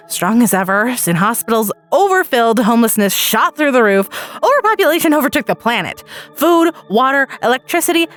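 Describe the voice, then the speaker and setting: A woman with a fairly high voice narrates a story in the first person. She tells it dramatically, as a storyteller, with music playing underneath.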